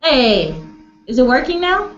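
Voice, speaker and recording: A woman's voice: high voice, very animated, a little slow. A woman leading a casual online group discussion, speaking hesitantly and searching for words over a video call. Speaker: discussion facilitator. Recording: echoey, dull, noisy video-call audio.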